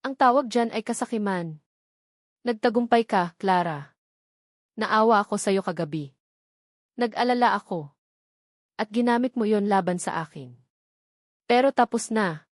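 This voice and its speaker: A woman's voice: mid-range voice, a little slow, pauses often, dry recording, clean studio sound, close to an everyday voice. A woman voicing a wealthy young man in a Tagalog romance story, cold, scornful and bitterly accusing, with an authoritative manner.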